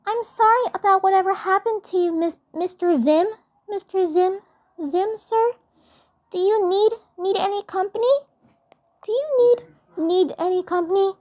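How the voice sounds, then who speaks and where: Woman doing a sweet excitable little hero girl from a cartoon, super high pitched, gushy and clingy. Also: normal speed. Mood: sweet concern. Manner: gushing, repeats phrases.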